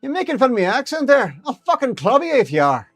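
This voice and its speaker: A man's voice: very high voice, wildly swooping pitch, quick pace, no room sound, clean studio sound, faint music behind, pitched above the performer's own voice, exaggerated voice. A man voicing a foul-mouthed, fiercely loyal female talking ferret. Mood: gruff, defensive. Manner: brash, loud, slangy, swears.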